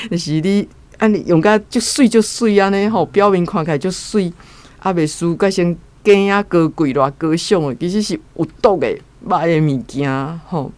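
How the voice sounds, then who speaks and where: A woman guest giving casual spoken thoughts on a story, chatty and relaxed. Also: deep voice. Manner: chatty, filler-heavy, relaxed. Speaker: guest reader sharing thoughts.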